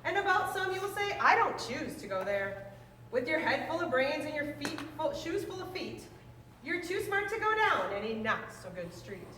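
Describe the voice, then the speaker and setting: A woman reading a children's rhyming book aloud in a lively, sing-song way. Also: high voice. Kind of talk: read-aloud storytelling. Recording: roomy, distant mic, faint noise.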